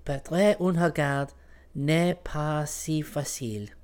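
Man voicing a slow, clear young French noblewoman. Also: on the high side, darkish tone, pitched above the performer's own voice. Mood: commanding. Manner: courtly, archaic phrasing with French terms. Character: gracious young French noblewoman falconer, gentle but commanding. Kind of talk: audiobook character voice.

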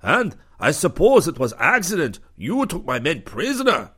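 A man's voice: high-pitched, sing-song, normal speed, creaky, fairly bright tone, husky, voice pushed up, full character voice. A man doing a curt, barking enemy commander. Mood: gruff, suspicious. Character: scowling armed male enemy ship commander.

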